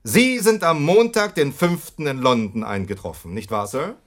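A man acts out a haughty master detective in a radio play. His delivery swings widely in pitch, emphatic and formal, with every accusation placed precisely. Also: animated, moderate pace, close to an everyday voice. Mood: relentless interrogation. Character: brilliant, relentless male detective, haughty and obsessively accusatory. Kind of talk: German radio drama acting.

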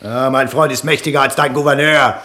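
An actor playing a defiant, half-mad condemned prisoner in a German radio play, volatile between hushed words and outbursts. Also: male voice, mid-range voice, steady tone, a little fast, roomy sound, natural voice. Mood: insistent.

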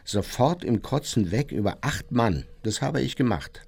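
An actor playing an old, unrepentant war criminal who reminisces boastfully and matter-of-factly in a radio play. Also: male voice, medium pitch, expressive, moderate pace, gravelly, a little mellow, husky. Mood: boastful reminiscing. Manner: chatty, boastful, matter-of-fact storytelling.

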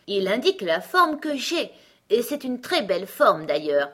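Lady doing a pompous grumpy egg guy for a kids story, raised pitch, very condescending and emphatic. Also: sing-song, a little slow, fairly bright tone, heavily acted. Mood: boastful, self-important. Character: pompous, irritable male talking egg. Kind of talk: storybook character acting.

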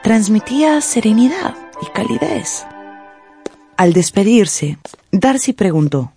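A woman narrating a radio drama in a scripted, dramatic style, with deliberate, suspenseful phrasing. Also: medium pitch, very animated, dark tone, faint music behind.

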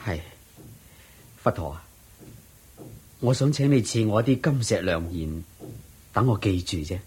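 A man's voice: fairly low pitch, expressive, a little slow, darkish tone, husky, heavily acted. An actor playing a poor, devout, worried young husband and father in a radio drama, sighing and earnest, with a Hong Kong Cantonese accent. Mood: humble, earnest. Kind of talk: Cantonese-language Buddhist radio drama acting.

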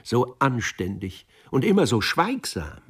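A man's voice: mid-range voice, big pitch swings, a little slow, breathy edge, recorded from a distance. A man voicing a boastful, nostalgic older former officer in a radio play, effusive and self-satisfied. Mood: wistful, effusive.

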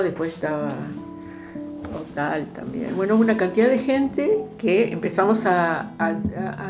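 An older Argentine woman speaks in an interview over clearly audible music. Her voice is fairly low, and she recounts things reflectively, often pausing to search for the right word. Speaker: interviewee, social worker recalling past projects. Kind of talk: conversational oral-history interview.

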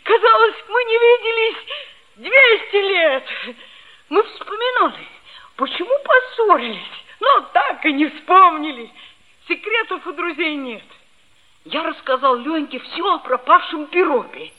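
An actress playing an earnest, easily hurt schoolboy of about thirteen in a 1960s Soviet radio play. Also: female voice, extremely high pitch, expressive, a little slow. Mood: emotional narration. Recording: muffled, very noisy old radio recording.

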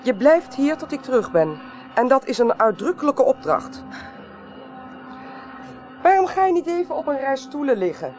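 A senior parliamentary clerk in an eighties radio play. She speaks with very animated intonation, formal and decisive, with a dry, wry edge. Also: sits high, normal speed, long pauses, soft background music. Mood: stern, impatient. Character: brisk, commanding senior female parliamentary clerk investigating. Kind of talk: 1980s Dutch radio drama acting.

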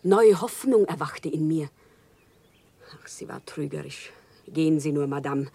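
A woman's voice: sits low, unhurried, gravelly, warm tone, husky, exaggerated voice. An actress playing an impassioned, naive commoner woman who pleads solemnly and fervently in a German radio play. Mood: bitter, weary. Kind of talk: German radio play, theatrical stage diction.

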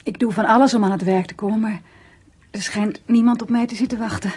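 An actress playing a shy, modest garden designer, speaking softly and hesitantly in a naturalistic radio drama. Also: female voice, fairly high pitch, steady tone, a little fast, breathy edge. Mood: bashful. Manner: hesitant, tentative.

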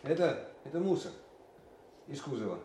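An actor playing a deferential army captain giving plain, slightly defensive reports to a superior in a wartime audio drama. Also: male voice, mid-range voice, very animated, natural voice. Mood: subdued, obedient. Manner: plain, hurried military reporting. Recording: roomy echo, faint background noise.

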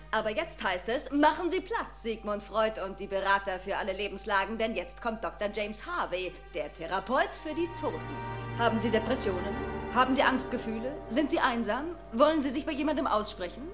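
A brisk female TV presenter played by an actress delivers a sensational report in an audio drama. Her voice is fairly high, with faint music underneath. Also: expressive, close to an everyday voice. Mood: showy, excited.

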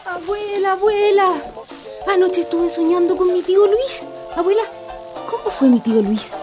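A woman plays a Chilean schoolgirl in a radio drama, idealistic and affectionate. Her voice is high, with music playing behind it. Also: animated, normal speed. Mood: concerned, curious. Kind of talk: amateur radio drama acting.

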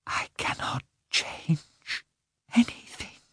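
A man reading an audiobook, voicing a despairing young wife who speaks softly and in whispers. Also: low voice, moderate pace, dry recording, clean studio sound. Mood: hushed. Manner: soft, whispered, hushed. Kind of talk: audiobook character voice.

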